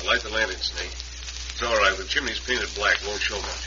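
An actor playing a cold, ruthless Western bank robber in a radio drama, with terse, folksy cowboy phrasing. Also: male voice, fairly low pitch, normal speed, close to an everyday voice. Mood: grim. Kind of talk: old-time radio western acting. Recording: dull, hissy old radio recording.

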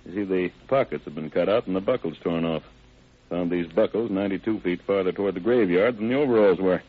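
Low, suspicious radio lawman. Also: fast talker, slightly rough. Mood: intent, grave. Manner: clipped, slangy, probing questions. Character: suspicious, shrewd male lawman, Todd. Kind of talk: 1940s-50s radio crime drama acting. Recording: tinny band-limited old radio recording.

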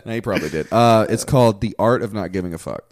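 Low-voiced young man, rambling and profane. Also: quick pace, no room sound, studio quality. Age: 20s. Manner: rambling, profane, many fillers. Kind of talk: casual chat.

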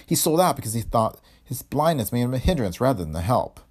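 A man's voice: mid-range voice, big pitch swings, brisk, pitched above the performer's own voice. A man voicing an indignant, loyal young woman in a hardboiled detective story, earnest and defensive. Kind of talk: hardboiled detective audiobook dialogue.

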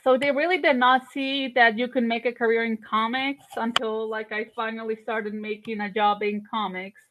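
A woman's voice: a little high, level tone, normal speed, noisy background. A woman, chatting as an interview guest with lots of fillers and restarts. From Colombia. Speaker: guest artist. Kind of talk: casual interview chat. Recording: tinny phone-like line.

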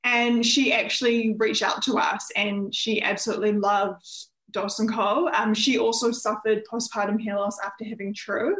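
Female interview guest, young adult, New Zealand accent, conversational, mid-pitched, noticeably roomy sound. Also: fairly even intonation, normal speed, hardly any pauses, a bit bright. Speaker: interview guest, nutritionist and company founder.

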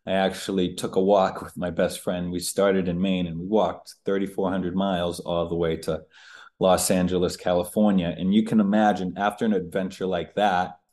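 A man's voice: deep voice, a little mellow. A man in his thirties from the Northeastern United States, a public speaker talking candidly as a guest about his life in a casual interview. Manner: candid, conversational, with fillers.

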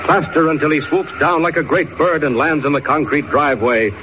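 A man's voice: fairly high pitch, fairly even intonation, fairly quick, lightly acted. An American man performing as a dramatic, declamatory announcer narrating a 1940s radio serial. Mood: excited, urgent. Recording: muffled old radio recording, faint noise.

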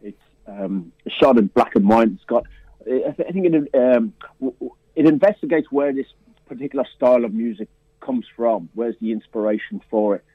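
A man's voice: mid-range voice, normal speed, slightly rough, no room sound. A man who programs a film festival talks about films in a radio interview, stopping and restarting often with many fillers. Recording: tinny, dry phone line.

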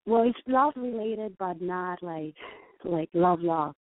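A woman's voice: on the low side, slow pace. A woman in her thirties with a Mexican accent, calling in for advice and speaking hesitantly. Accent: Mexican Spanish. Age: 30s. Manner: hesitant, full of likes and ums. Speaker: caller. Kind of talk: phoning in for advice. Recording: tinny phone line.

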